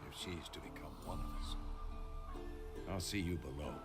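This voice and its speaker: An actor playing a grumbling, stern old monster hunter master in a video game, speaking emphatically. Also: male voice, low voice, moderate pace, close to an everyday voice. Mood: grave, resolved. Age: older. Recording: loud game music underneath. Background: loud music.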